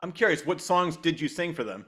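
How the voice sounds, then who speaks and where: A man's voice: fairly high pitch, expressive, fairly quick, a bit bright. A man hosting a podcast, interviewing in a casual, conversational and encouraging way.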